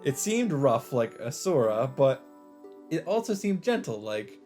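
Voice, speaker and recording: Male let's play host, medium pitch, dramatic narration reading, visual novel, faint music behind. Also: big pitch swings, unhurried, darkish tone. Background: game music.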